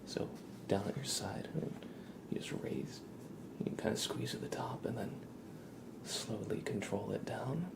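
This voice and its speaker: A man hosting an ASMR livestream, speaking in a hushed, breathy whisper as he chats with viewers. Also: fairly low pitch, a little slow, long pauses. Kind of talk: ASMR, live chat with viewers.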